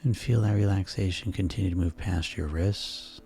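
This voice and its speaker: A man guiding a sleep hypnosis session in a slow, soothing, repetitive way. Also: low voice, creaky, mellow. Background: music.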